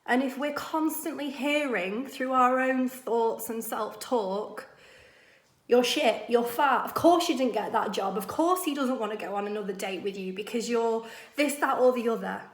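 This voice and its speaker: Husky-voiced woman coaching on camera. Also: a little high, unhurried, reverberant. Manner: chatty and encouraging, addresses viewers warmly as "my loves". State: husky from a cold. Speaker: confidence coach. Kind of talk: casual direct-to-camera advice.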